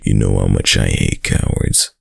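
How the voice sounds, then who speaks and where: A man playing a dominant, doting yet menacing mafia heir boyfriend in a roleplay ASMR audio drama, with a deep, husky, gravelly voice and a smug tough-guy drawl. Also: steady tone, normal speed, dry recording, studio quality, exaggerated voice. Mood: disdainful, musing.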